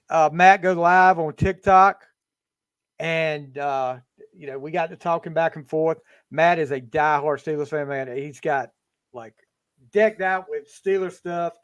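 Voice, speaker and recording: A fifty-year-old man hosts a casual podcast from home over a video call. His voice sits a little high, and he rambles, restarting his sentences again and again. The sound is close and dry. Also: slow pace, smooth, no echo. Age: 50s. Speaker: podcast host. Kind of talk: casual chat.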